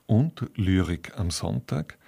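A man presenting scripted radio programme announcements in a formal, composed manner, with a gravelly, husky voice. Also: sits low, expressive, slow pace, mellow.

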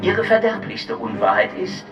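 A man's voice: high voice, sing-song, moderate pace. A propagandist lectures in a raised, swooping voice. He sounds distant and dull, as through an old broadcast, declaiming to music in a radio play. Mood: lecturing. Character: American-born propagandist lecturing in a preachy tone. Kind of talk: read-out propaganda report, radio drama.

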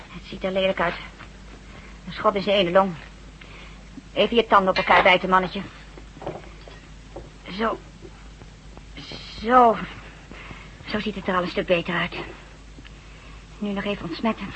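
Woman acting a brisk nurse, noisy radio recording. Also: medium pitch, dull audio, close to an everyday voice. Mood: brisk, soothing. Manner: matter-of-fact, soothing, firm. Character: practical, brisk, caring nurse.

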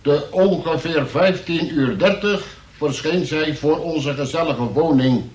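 A man playing a wry arrested man, narrating a radio play as a formal statement. His voice is on the high side and deliberate, heard a little distant from the mic in a very roomy recording. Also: close to an everyday voice. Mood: wry, mock-formal. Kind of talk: scripted first-person radio play narration.

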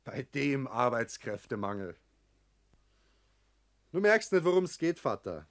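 An actor with a Bavarian accent playing a builder's ambitious, rebellious grown son in a 1960s German radio drama, blunt and reproachful. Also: male voice, mid-range voice, big pitch swings, slow pace. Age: young adult.

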